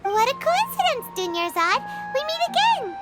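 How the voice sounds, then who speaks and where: Squeaky, excitable little fairy sidekick. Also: female voice, moderate pace. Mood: surprised, animated. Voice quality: squeaky, childlike. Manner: excitable, refers to self in third person. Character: Paimon, tiny excitable childlike fairy companion, female. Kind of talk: video game voice acting. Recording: background music throughout.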